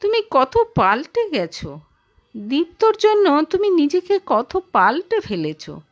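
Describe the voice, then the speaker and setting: A woman's voice: high-pitched, exaggerated voice. A woman voicing a wistful, teasing young man, a former lover in an audio story, with a probing manner.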